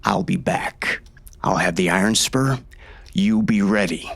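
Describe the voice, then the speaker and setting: Hard-bitten western lawman: low, gravelly, intense, a furious grieving father narrating his own dark tale. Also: measured pace. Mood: defiant. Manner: intense, menacing. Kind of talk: first-person audiobook narration, dramatic.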